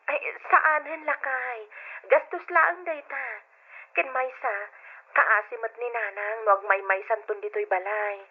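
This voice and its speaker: A woman playing a gentle, patient young daughter-in-law in a radio drama, earnest and plaintive, with a Filipino accent. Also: a little high, steady tone, a little slow, husky. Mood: gently pleading. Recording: dull, lo-fi old radio drama.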